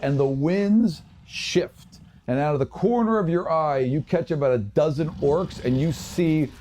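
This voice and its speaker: A man narrating a tabletop game as game master, with vivid, drawn-out descriptive delivery. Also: fairly high pitch, darkish tone. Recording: noisy, with ambient sound behind.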